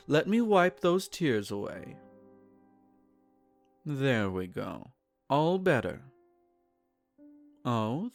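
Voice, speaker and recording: Man acting a slow, possessive aristocratic vampire. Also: on the high side, exaggerated voice. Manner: formal, measured, soothing and condescending. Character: possessive aristocratic male vampire, silky, doting yet menacing. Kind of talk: roleplay audio drama, intimate monologue to the listener.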